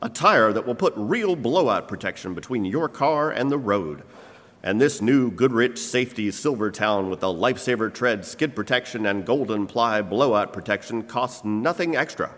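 A man's voice: mid-range voice, animated, normal speed, fairly bright tone. A man playing an urgent, persuasive old-time radio announcer, delivering a sponsor message with emphatic salesmanship. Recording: echoey live hall.

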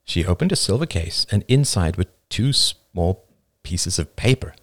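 A man reading a first-person story aloud with expressive storytelling. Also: low-pitched, a little slow, no echo. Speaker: narrator.

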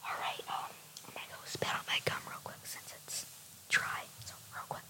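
A woman whispering breathily and close to the microphone, chatting in a halting, informal way as an ASMR creator. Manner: hushed, halting, informal rambling. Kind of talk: whispered ASMR with casual chat.

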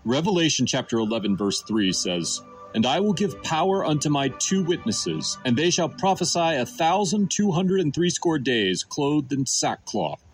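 A man's voice: on the high side, very animated, moderate pace, poor audio. A man delivering a scripted Bible sermon and reading scripture in an emphatic, declarative way. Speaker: Bible teacher and narrator. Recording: music playing under the voice. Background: music.

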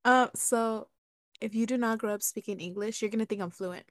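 A woman whose first language is Spanish, chatting casually as a podcast co-host, animated and laughing often. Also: a little high, a little fast, no room sound, studio quality. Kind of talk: casual chat.

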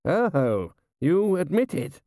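Man reading as lordly commander: pompous, hectoring, deluded, raised pitch, storybook villainy without menace. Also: very animated, slow, warm tone, dry recording, clean studio sound, heavily acted. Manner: blustering, self-satisfied, hectoring. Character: pompous, deluded aristocratic army commander, male.

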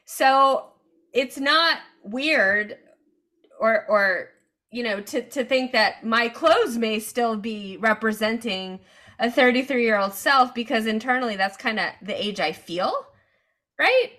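A woman in her forties hosts a podcast in a relaxed, chatty way, thinking aloud as she goes. Her voice sits fairly high and sounds bright and forward. She speaks slowly, taking her time. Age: 40s. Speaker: podcast host. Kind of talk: casual podcast chat.

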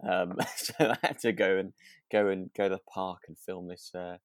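A man's voice: low-pitched, steady tone, normal speed, dry recording, clean studio sound. A British man co-hosting a casual podcast about a radio drama, speaking hesitantly with chuckles as he talks. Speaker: podcast co-host and actor.

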